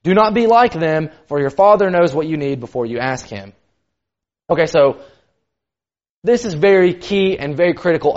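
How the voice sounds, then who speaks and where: A man giving a sermon in a loud, carrying voice, casual and conversational with lots of "kind of". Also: on the high side. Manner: loud voice that carries; conversational, many fillers like "kind of". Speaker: preacher. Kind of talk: sermon teaching. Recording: dull lo-fi recording.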